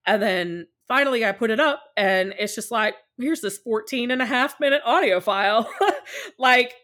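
A woman's voice: on the high side, lively intonation, normal speed, a bit bright. A woman talking casually and candidly as a podcast guest, at length and in a conversational way. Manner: talkative and candid, often acting out short quotes. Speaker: podcast guest, podfic creator.

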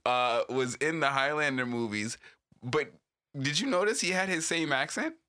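A man co-hosting a casual podcast, animated and joking with lots of slang. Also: medium pitch, moderate pace. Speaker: podcast co-host. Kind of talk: casual chat.

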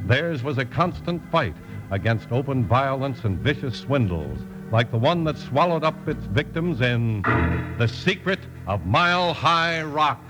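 Male vintage radio announcer, medium pitch, scripted and polished, clear music bed. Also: very animated, a little slow, low-quality recording. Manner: polished, dramatic announcer delivery. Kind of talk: scripted 1950s radio narration and sponsor reading.

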